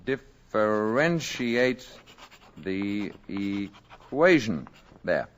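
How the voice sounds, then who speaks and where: Brief: an alien visitor in human guise, low, measured, quietly assured; 1950s radio play. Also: male voice, very animated, slight background noise, heavily acted. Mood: calm. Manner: soft-spoken, measured and gently assured. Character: calm, courteous male space visitor posing as a man. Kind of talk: 1950s radio drama acting.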